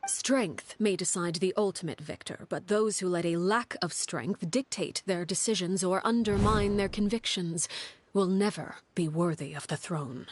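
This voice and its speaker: Game role: stern female head of a secret family, played by a woman. Low register, formal, measured, authoritative. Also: slight background noise, natural voice. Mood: firm, grave. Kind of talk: scripted video game dialogue.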